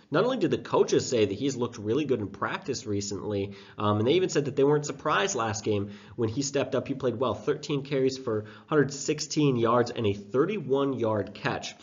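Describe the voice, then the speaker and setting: A young man hosting a fantasy football show, sick with a sore throat and stuffed-up nose, talking in a chatty, self-deprecating way with loose fillers. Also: medium pitch. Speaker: fantasy football podcast host. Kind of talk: casual breakdown talk. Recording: dull lo-fi sound.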